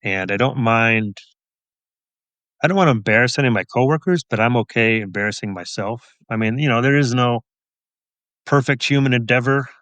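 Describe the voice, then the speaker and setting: A man's voice: mid-range voice, moderate pace, a bit bright, smooth, dry recording. A middle-aged man, a police officer and author, answering casually as an interview guest in a thoughtful, wry, self-deprecating way.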